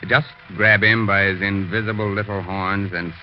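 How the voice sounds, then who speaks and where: A muffled 1940s radio recording with faint music presents an actor as a macabre horror host. He speaks in a deep male voice, teasing and tongue-in-cheek, with deliberate hesitations. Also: steady tone, close to an everyday voice. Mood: mischievous, macabre.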